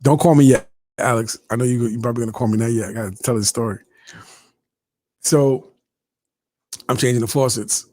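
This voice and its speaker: A man's voice: medium pitch, steady tone, a little fast, pauses often, mellow, slight background noise. A man in his early fifties hosting a casual livestream chat, talking to viewers and reading out their comments as he goes. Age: 50s. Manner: chatty, reads out viewer comments, often interrupts himself. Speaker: host.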